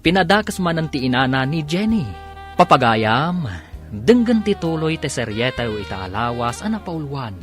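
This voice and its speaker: A male narrator with a lively, swinging pitch and an announcer's delivery. Music plays clearly beneath him as he recaps the radio drama. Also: normal speed.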